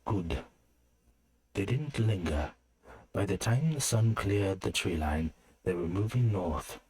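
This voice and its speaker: A man's voice: fairly low pitch, expressive, a little slow, darkish tone. A man reading scripted fantasy story narration as a narrator.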